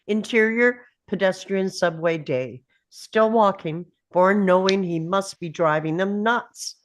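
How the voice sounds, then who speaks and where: A woman's voice: medium pitch, measured pace, slight background noise. A woman narrating screenplay stage directions in clipped phrases.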